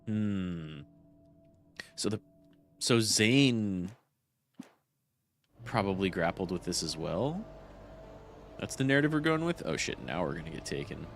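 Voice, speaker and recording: A man's voice: low voice, lively intonation, measured pace, long pauses, a little hiss or hum. Stuffy-nosed male game streamer. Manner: swearing, incredulous and chatty. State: congested, stuffy nose. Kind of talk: casual game-stream commentary.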